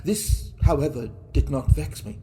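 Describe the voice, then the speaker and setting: A man playing a mad, guilt-racked murderer, telling his horror story in a theatrical, intense and deliberate manner. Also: fairly high pitch, expressive, warm tone. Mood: cold, smug. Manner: theatrical, deliberate, intense, broken into short phrases. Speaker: reader of a classic horror story. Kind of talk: dramatic first-person storytelling.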